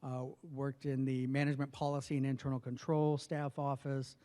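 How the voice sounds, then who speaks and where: A man in his forties from northwestern New Mexico, a health official speaking softly at a formal event, with many pauses and fillers. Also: mid-range voice, little pitch movement. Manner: soft-spoken, many hesitations and fillers. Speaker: federal health official giving a speech. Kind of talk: personal remarks at a formal event. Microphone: podium microphone. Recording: long reverb of a large hall.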